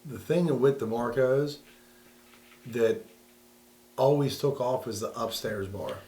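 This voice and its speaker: A man's voice: mid-range voice, slow pace, mellow. A man in his thirties co-hosting a casual podcast chat in a laid-back way, often stammering as he starts a thought. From southwestern Pennsylvania. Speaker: podcast co-host.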